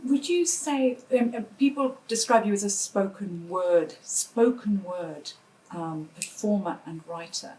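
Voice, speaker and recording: A woman's voice: mid-range voice, a little slow, warm tone, low-quality recording. A woman asking questions as an interviewer, in a conversational interview style.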